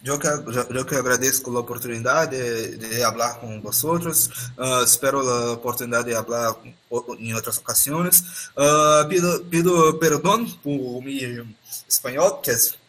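A Brazilian man with a Portuguese accent works his way through Spanish as a podcast guest. He talks haltingly, with false starts and drawn-out "ah" fillers. His voice comes over a video call. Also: medium pitch, fairly bright tone. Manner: halting, many restarts and "ah" fillers. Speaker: guest expert. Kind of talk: podcast interview.

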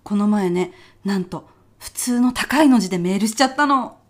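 A woman in a comedic radio drama playing a fretful, pushy senior office worker with a blunt, rough-mouthed way of talking. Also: medium pitch, animated, normal speed, close to an everyday voice. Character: bossy, fretful female senior office worker with petty worries.

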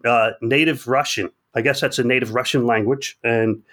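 A man's voice: medium pitch, fairly even intonation, normal speed, a bit bright. A man hosting a podcast, reading out a guest introduction and then chatting in a loose, casual way. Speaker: podcast host.

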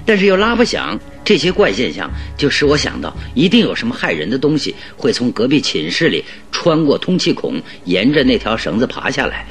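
A shrewd, composed detective played by a man lays out his reasoning in a radio drama. His voice is animated and authoritative, with deliberate explanations over faint music. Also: mid-range voice, lightly acted.

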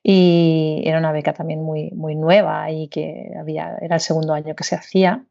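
A woman's voice: low-pitched, level tone, normal speed, creaky, dark tone, dull audio. A woman from Barcelona, a guest in an interview, talking chattily with many fillers and tag questions. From Barcelona, Spain. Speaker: guest, startup founder. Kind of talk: conversational interview.

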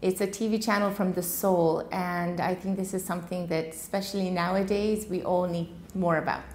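A woman who was born in Iran and raised in the United States, a dentist and clinic owner, giving calm and earnest interview answers. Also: on the low side, moderate pace, slight background noise. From Iran, raised in Washington state.

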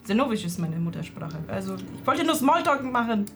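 A woman's voice: medium pitch, very animated, a little fast, gravelly. A woman playing a tabletop game in character, polite and hesitant with many fillers, music playing behind. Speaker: player.

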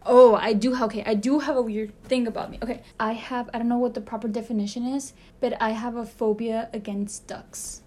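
A woman's voice: on the high side, fairly even intonation, brisk, hardly any pauses, darkish tone. A woman in her twenties from El Paso, co-hosting a podcast and telling stories in a laid-back, matter-of-fact way. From El Paso, Texas. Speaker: podcast co-host. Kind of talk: casual chat.

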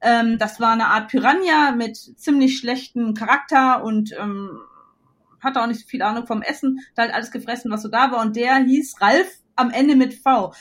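A bubbly woman in her forties from western Germany talks as a podcast guest in a bright, high voice. She laughs easily and wanders through her stories with plenty of fillers. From North Rhine-Westphalia, Germany. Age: 40s. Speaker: podcast guest, illustrator and start-up founder. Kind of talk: casual interview chat.

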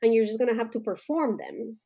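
Woman from Colombia, husky voice, warm dark tone, emphatic, repeats phrases. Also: on the high side, animated, brisk. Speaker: podcast guest, activist. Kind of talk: conversational interview.

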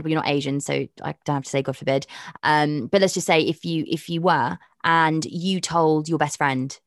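A young woman with a notably low voice talks frankly and chattily as an interview guest, thinking out loud as she goes. The sound is dry and close, with no room around it. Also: dry recording. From Pakistan. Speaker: presenter and dating podcast host, guest. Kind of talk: candid interview conversation.

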